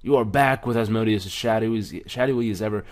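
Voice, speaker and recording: A man's voice: lowish voice, quick pace, slight background noise. A man hosting a let's play, casually reading game text aloud with some stumbles and commenting on it. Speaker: gaming video host.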